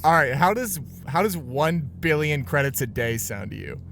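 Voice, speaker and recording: An excitable, flustered male interviewer played by a man in an improvised comedy. He repeats himself and stumbles in a somewhat high voice, with light ambient noise behind him. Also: creaky, exaggerated voice. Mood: amused, impressed. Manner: halting, repeats phrases, bursts into laughter.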